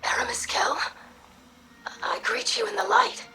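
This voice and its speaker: A woman playing an earnest, curious young alien scribe in a video game, speaking formally and thoughtfully in a breathy, crackling voice. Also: very high-pitched, big pitch swings, measured pace, in a whisper, exaggerated voice. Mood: respectful, uneasy. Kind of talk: video game audio log. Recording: echoey, distant, with music behind.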